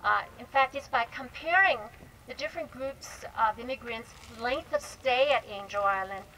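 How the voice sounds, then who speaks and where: A woman's voice: on the high side, bright, forceful tone, poor audio. A woman giving an informal explanatory history presentation to a live panel event, often hesitating and correcting herself. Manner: explanatory, halting with self-corrections. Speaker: panel speaker, historian and researcher. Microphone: event microphone. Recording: live event mic, faint background noise.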